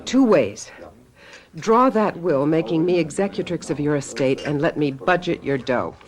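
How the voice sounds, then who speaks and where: An actress playing a tough, protective, hard-headed sister in a radio drama, speaking in a blunt, wry, clipped way. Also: female voice, low voice, expressive, moderate pace. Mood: brisk, persuading.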